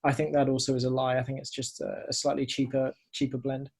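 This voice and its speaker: A man's voice: medium pitch, completely flat delivery, quick pace, vocal fry, a little mellow, breathy edge. A man co-hosting a podcast, talking casually and conversationally. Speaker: podcast co-host.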